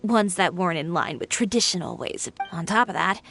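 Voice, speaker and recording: A woman's voice: lowish voice, very animated, brisk, gravelly, a bit bright, soft background music, heavily acted. Blunt, self-assured young woman designer. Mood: exasperated. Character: proud, blunt young woman fashion designer recounting her past. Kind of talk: video game voice acting, conversational storytelling.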